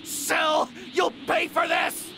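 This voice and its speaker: An actor voicing a small, hot-headed, devoted male martial artist with an excitable, earnest delivery in an anime video game. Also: very high-pitched, slow, vocal fry, background noise, heavily acted. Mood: outraged. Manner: excitable, indignant, fiercely earnest. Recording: loud game music behind.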